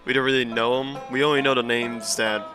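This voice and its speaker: A man's voice: mid-range voice, steady tone, fairly quick, music in the background. A man hosting a casual commentary recap, talking in a rambling, hesitant and self-deprecating way. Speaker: video host and reviewer.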